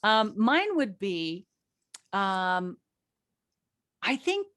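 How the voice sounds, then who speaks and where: A woman's voice: mid-range voice, big pitch swings, drawn-out words, fairly bright tone, no room sound, studio quality. A woman hosting a casual group interview as a show's writer, joking in a playful, self-deprecating way. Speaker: host and writer of an audio drama.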